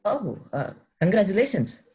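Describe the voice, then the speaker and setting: An actor reading an eager, polite teenage shop cashier with a husky voice and halting, formal delivery. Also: male voice, high voice, sing-song, a little mellow, heavily acted. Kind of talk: table read of a film script.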